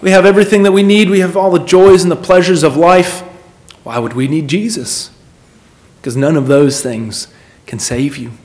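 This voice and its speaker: A man's voice: a little high, normal speed, darkish tone. A man preaching a sermon in an earnest, emphatic manner, repeating phrases for weight and putting questions to his listeners.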